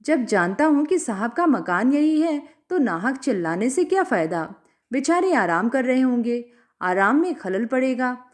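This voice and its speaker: Woman reading as a glib, animated carriage driver man. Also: on the high side, unhurried. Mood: coaxing. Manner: coaxing, mildly exasperated. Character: glib, stubborn male horse-carriage driver.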